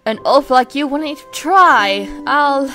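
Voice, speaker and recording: A woman's voice: high-pitched, expressive, unhurried, pushed and bright, soft background music, heavily acted. A woman voicing a proud, prim male twin in an amateur comic dub, haughty and theatrical but grudgingly kind. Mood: stiff, haughty.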